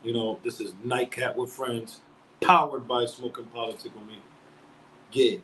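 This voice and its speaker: A man's voice: mid-range voice, flat delivery, measured pace, a little hiss or hum, poor audio. A man hosting a live-stream talk show in casual, slangy chat, greeting viewers by name and then speaking earnestly at length. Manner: slangy and conversational, reads out viewer shout-outs.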